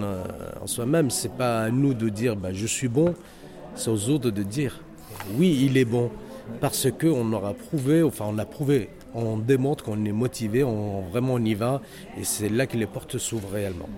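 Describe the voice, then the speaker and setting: A man's voice: mid-range voice, moderate pace, over music. Hesitant man with a North African accent. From Algeria. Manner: hesitant, many fillers and restarts; earnest, motivational. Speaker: head of a security training center. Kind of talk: interview testimony. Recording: noisy crowd around the speaker. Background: crowd chatter.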